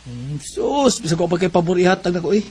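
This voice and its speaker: A lovestruck young dude, really high voice, slangy banter, radio play. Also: male voice, big pitch swings, brisk, darkish tone, slight background noise. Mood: playful. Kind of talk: radio drama acting.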